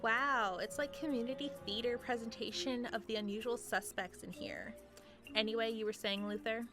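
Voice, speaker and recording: A woman's voice: on the high side, fairly even intonation, normal speed, music in the background, lightly acted. A woman playing a dry, sarcastic villain who serves as meeting secretary in a comedic radio play. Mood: sardonic. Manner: dry, sardonic.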